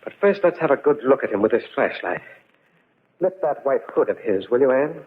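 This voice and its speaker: An actor playing a calm, deductive detective in a 1940s radio mystery, speaking gravely and deliberately. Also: male voice, medium pitch, natural voice. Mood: reassuring. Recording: noisy, muffled vintage radio recording.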